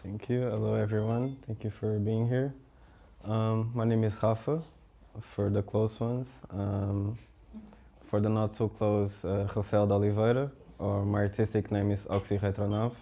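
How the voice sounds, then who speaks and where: Young man, very deep voice, monotone, casual panel talk. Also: normal speed. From Portugal. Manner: relaxed, rambling, many fillers. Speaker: visual artist, panel guest.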